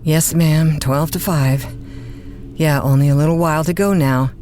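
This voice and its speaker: A woman's voice: deep voice, steady tone, normal speed, warm tone, slight background noise, lightly acted. An actress playing a brash, flustered woman disc jockey on the overnight shift in a radio drama, with wisecracking, folksy, exasperated old-time patter. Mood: strained politeness. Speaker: radio host.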